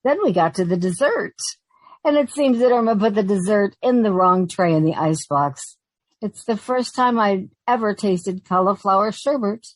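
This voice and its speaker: A woman's voice: mid-range voice, normal speed, no room sound, natural voice, low-quality recording. Sensible young secretary, played by a woman: mid-range, proper, wry, long-suffering, vintage radio comedy style, dry studio sound. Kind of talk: 1940s radio comedy acting and narration.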